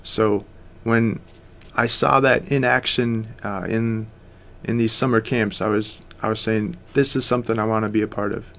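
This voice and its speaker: A man's voice: lowish voice, fairly even intonation, moderate pace, creaky, few pauses, a bit bright. An American man in his thirties, a missionary guest, talking earnestly and steadily in a casual interview, with frequent fillers. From United States.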